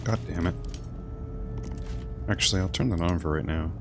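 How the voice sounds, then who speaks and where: Male game streamer, very low gravelly voice, offhand terse commentary over game music. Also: normal speed. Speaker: streamer playing a role-playing game. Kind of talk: casual gameplay commentary. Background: video game music.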